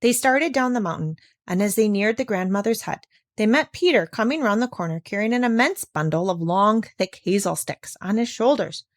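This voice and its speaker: Woman, mid-range voice, scripted children's story narration, expressive, dry sound. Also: mid-range voice, normal speed, dry recording. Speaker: audiobook reader.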